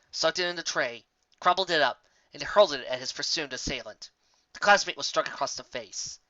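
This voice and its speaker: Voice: medium pitch, pushed and bright, no room sound. A narrator reading a fan fiction story aloud as a dramatic audiobook, in a slightly dull, dry recording.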